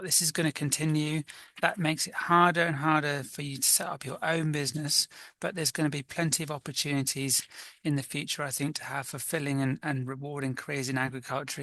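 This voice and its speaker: A man from mid Wales, a magazine editor answering interview questions in a thoughtful, measured way with frequent fillers. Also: a little high, sharp tone, no room sound.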